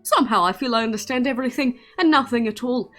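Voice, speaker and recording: Woman playing a bemused, measured father figure. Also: on the high side, fairly bright tone, soft background music, close to an everyday voice. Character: bemused, trusting father addressing his son.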